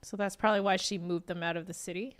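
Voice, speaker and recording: A woman gives casual commentary as she plays a game. Her voice sits a little low, and she sounds offhand and speculative, like someone thinking aloud. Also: steady tone, quick pace. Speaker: gameplay streamer.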